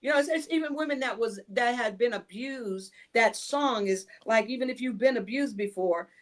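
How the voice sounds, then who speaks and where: Talk-show guest, woman from Illinois, earnest, rambling, slightly high pitch. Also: normal speed. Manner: earnest, repeats herself, restarts often. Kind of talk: casual talk show chat.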